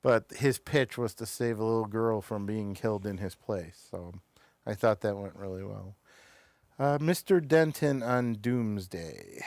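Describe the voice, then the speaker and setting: Halting man hosting a casual review show. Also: fairly low pitch, a little mellow, smooth, no echo. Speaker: show host reviewing television episodes.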